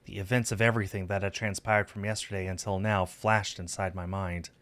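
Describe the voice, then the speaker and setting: A man's voice: low-pitched, little pitch movement, brisk, a bit bright. A man streaming a visual novel, reading the narration aloud and adding casual asides. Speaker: game streamer. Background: music.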